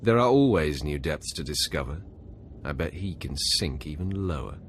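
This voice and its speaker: An actor playing a cool, calculating young Sith acolyte in a video game, measured and sardonic. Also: male voice, very deep voice, expressive, a little hiss or hum. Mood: contemptuous. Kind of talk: video game cutscene acting.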